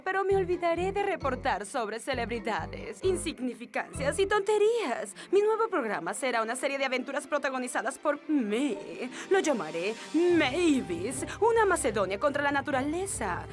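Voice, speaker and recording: Showy, high-voiced celebrity reporter lady. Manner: self-important, effusive, showy delivery. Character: vain, dramatic, gushing female celebrity TV reporter. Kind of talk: theatrical cartoon dubbing.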